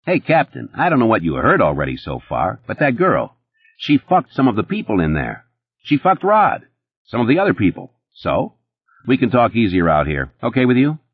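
A twitchy, boastful playboy, put on by a male narrator, his voice jumping up high and swinging all over as he rushes his words. The husky tone stays dry and close, with a slightly dull, muffled sound. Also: wildly swooping pitch, dry recording, heavily acted. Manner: rapid, nervous, boastful, pitched high and pushy. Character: jittery fast-talking male nightclub playboy, sleazy.

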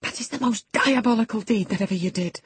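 A woman's voice: low-pitched, normal speed, a little mellow, a little hiss or hum, natural voice. A narrator voicing an alarmed, scolding female servant, urgent and exclaiming, in an audiobook. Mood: furious scolding.

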